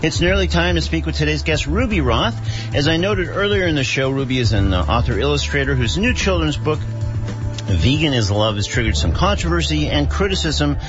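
A man's voice: fairly high pitch, animated, moderate pace, muffled recording, over music. A man hosting a radio talk show, hesitant and full of fillers as he introduces and interviews a guest. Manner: hesitant, lots of uh and um.

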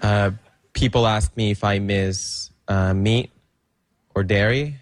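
A man performing a stand-up comedy bit, halting and hesitant, with many pauses and fillers. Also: lowish voice, steady tone, no room sound, clean studio sound.

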